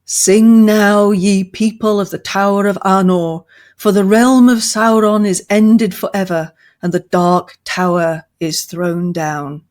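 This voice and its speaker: A great eagle herald from a fantasy storybook, read by a woman. The proclamation is slow, exultant and solemn, lifted a little above plain speech. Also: medium pitch, steady tone, a little mellow, lightly acted. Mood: solemn joy. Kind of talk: dramatic reading of a fantasy novel.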